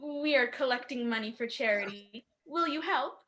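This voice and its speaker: A woman's voice: high voice, normal speed, a crowd in the background, natural voice. A woman acting in a radio play as an earnest, proper, forthright lady who collects for charity. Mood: polite, eager.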